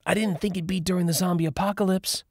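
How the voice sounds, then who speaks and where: A man's voice: high voice, steady tone, fast, darkish tone, no echo, clean studio sound, voice pushed up. A man voicing a sassy, blunt, teasing young woman in an audiobook.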